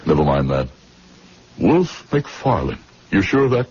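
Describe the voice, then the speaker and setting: A man's voice: deep voice, big pitch swings, a little slow, a little mellow, a little hiss or hum. An actor playing a bitter, despairing middle-aged former doctor in a radio drama, brooding, sardonic and literate in his speech. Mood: shaken, bitter. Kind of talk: radio drama acting with first-person narration. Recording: dull, muffled radio drama recording.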